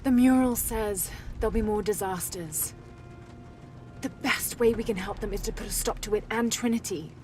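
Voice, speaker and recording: A woman's voice: medium pitch, normal speed, darkish tone, slight background noise, lightly acted. An actress playing a determined, earnest young female adventurer in a video game cutscene. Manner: earnest, insistent.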